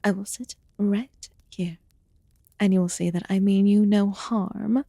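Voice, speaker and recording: Woman acting as a soft, slow, ancient fae recluse. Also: medium pitch, fairly even intonation, no echo, studio quality. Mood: amused, patient. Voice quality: soft, breathy murmur with whispered phrases. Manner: slow, deliberate, archaic formal wording. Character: ancient aloof female fae recluse, haughty yet quietly caring. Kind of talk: ASMR fantasy roleplay.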